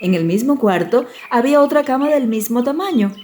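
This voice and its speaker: Higher-pitched woman narrating a fairy tale. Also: animated, fairly quick, a little mellow. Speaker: storyteller, audiobook narrator. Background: music.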